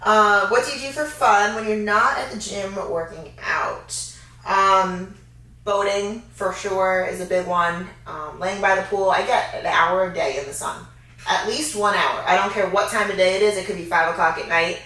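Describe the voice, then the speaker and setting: A woman in her thirties co-hosting a casual Q&A, chatty and easygoing, quick to laugh. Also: mid-range voice, sharp tone. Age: 30s. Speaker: fitness co-host. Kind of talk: casual Q&A chat. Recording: echoey room, mic far off.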